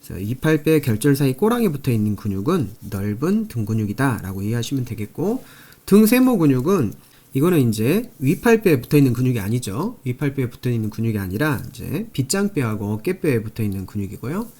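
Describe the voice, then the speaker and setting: Guy teaching a class, pitch jumps around a lot, repeats the key bits step by step. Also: sing-song, brisk, mellow. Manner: repetitive, step-by-step teaching with frequent emphasis. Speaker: anatomy lecturer. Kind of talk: explanatory lecture, drawing and working through review questions.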